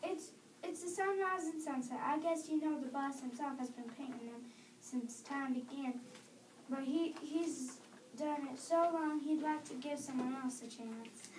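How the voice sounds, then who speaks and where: Child as big commanding angel tradesman for story theater, high voice, echoey distant recording. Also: measured pace. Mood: approving. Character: big heavenly sign painter angel man, commanding. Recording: echoey, distant microphone, background noise.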